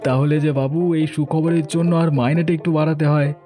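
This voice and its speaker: Cartoon farmhand, male actor: higher male register, soft dark tone, self-interested, assured. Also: little pitch movement, normal speed, soft background music, natural voice. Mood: eager, deferential. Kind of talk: Bengali cartoon story dialogue.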